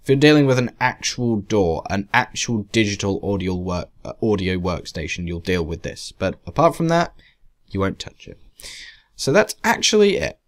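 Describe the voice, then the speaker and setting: A man narrating a software tutorial casually, in a rambling, hesitant, self-deprecating way. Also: fairly low pitch, very animated, moderate pace. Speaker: tutorial presenter. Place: indoors, screen-recording voice-over.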